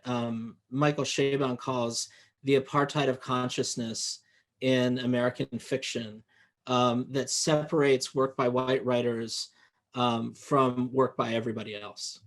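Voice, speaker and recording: A man author talking over a video call, deliberate and hesitant, with fillers. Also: medium pitch. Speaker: author and panelist. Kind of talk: reflective conversation.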